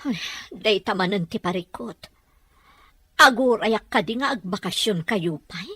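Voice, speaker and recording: A woman playing an anxious, longing mother with a husky voice in an Ilocano radio drama. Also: medium pitch, lively intonation, unhurried, bright, forceful tone, no room sound, heavily acted. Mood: wistful.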